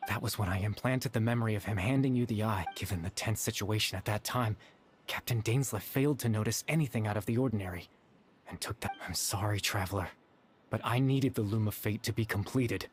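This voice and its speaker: An actor playing a gentle, sorrowful young man in a video game, speaking softly and hesitantly with wistful remorse. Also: on the low side, a little fast, slight background noise, close to an everyday voice. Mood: apologetic, earnest. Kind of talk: dramatic video game dialogue.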